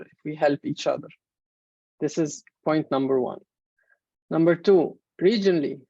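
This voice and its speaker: A woman in her thirties from Egypt, a native Arabic speaker, giving a measured presentation in an online webinar with many fillers. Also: deep voice, warm tone, no room sound. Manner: measured, explanatory, frequent fillers. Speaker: main speaker. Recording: dull, muffled webinar audio.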